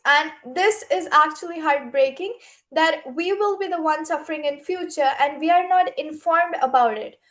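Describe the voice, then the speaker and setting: High-pitched young woman, earnest speaker. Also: moderate pace, fairly bright tone. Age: young adult. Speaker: youth speaker at an online summit. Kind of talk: impassioned panel remarks.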